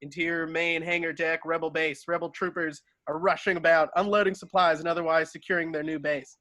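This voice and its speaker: A man's voice: on the high side, little pitch movement, a little fast, pushed and bright, no room sound. A man reading stage directions as narrator in an amateur table read, now and then stumbling and correcting himself.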